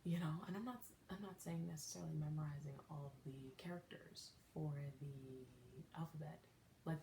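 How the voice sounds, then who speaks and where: Low-voiced woman chatting in an echoey room. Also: mellow. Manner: rambling, self-correcting, chatty. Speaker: podcast host. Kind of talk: casual solo podcast monologue. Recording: echoey room with faint noise.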